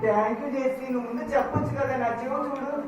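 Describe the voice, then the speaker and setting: Puppet show host, quick, questioning, gently scolding; distant mic with clear noise. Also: high-pitched, fairly even intonation. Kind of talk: playful scripted dialogue with puppets. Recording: very echoey, distant mic, noisy room.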